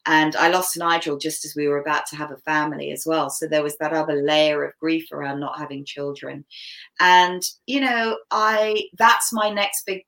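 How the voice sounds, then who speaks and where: Dark-voiced Canadian-born woman, low and bright. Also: fairly even intonation, moderate pace, rough recording. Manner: open, reflective, laughs easily. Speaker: guest. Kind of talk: casual interview talk.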